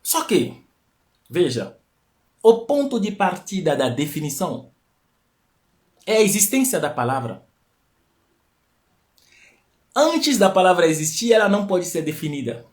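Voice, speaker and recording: A man's voice: sits high, big pitch swings, normal speed, long pauses. Man teaching on a live stream. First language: not Portuguese. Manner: explanatory, repeats key phrases, rising emphatic peaks. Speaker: host of a live stream talk. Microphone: live stream mic.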